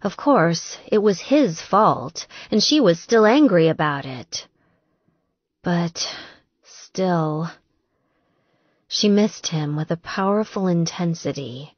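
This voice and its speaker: A woman narrating a romance novel in an expressive, emotive way, as for an audiobook. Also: on the low side, takes it slowly, no room sound, lo-fi sound. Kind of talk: scripted romance novel narration with acted dialogue.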